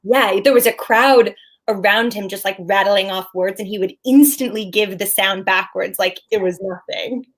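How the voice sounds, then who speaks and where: A woman's voice: mid-range voice, normal speed, natural voice. An actress playing an easygoing, slightly awkward young woman chatting with an old friend in naturalistic play dialogue. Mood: amused, chatty. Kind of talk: naturalistic play dialogue over video call.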